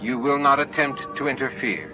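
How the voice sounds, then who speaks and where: A man's voice: mid-range voice, normal speed, voice pushed up, close to an everyday voice. Alien hive voice, male reader: neutral, icy, commanding, for an audiobook. Lo-fi sound, prominent music. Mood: cold, flat. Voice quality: flat, neutral. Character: cold emotionless alien hive voice, imperious. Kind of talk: audiobook character acting. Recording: dull lo-fi audiobook tape with music.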